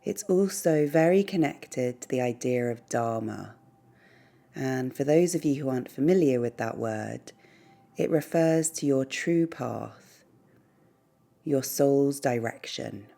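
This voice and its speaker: A woman leading a guided meditation in a soothing, gentle, unhurried way, pausing between short phrases. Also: rumbling low, creaky. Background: background music.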